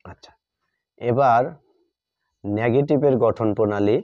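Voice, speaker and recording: Very slow-speaking Bengali man teaching. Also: medium pitch, mellow, no room sound. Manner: repeats phrases and spells words out while dictating. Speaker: English grammar teacher. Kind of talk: classroom grammar lesson, writing out examples while explaining. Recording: dull lo-fi recording.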